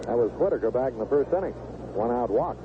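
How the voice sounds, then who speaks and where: Male baseball announcer, vintage radio play-by-play, mid-range pitch, clipped phrases, tinny narrow band, noisy ballpark behind. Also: lively intonation, fast talker. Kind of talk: live radio sports commentary, 1970s.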